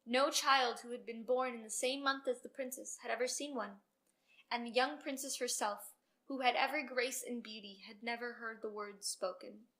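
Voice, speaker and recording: A woman storyteller reading a children's storybook aloud with expressive narration. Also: fairly high pitch, moderate pace.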